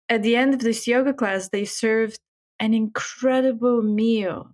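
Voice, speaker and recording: Bulgarian-accented woman telling her story. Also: medium pitch. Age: middle-aged. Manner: earnest, laughs often while telling stories. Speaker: guest chef and author. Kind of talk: interview storytelling.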